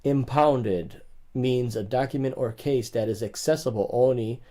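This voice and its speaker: A young man hosts a casual livestream, reading legal rules aloud and breaking off to chat with viewers. His voice is mellow and dark at a middle pitch. He reads in quick bursts with small pauses between passages. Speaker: livestream host.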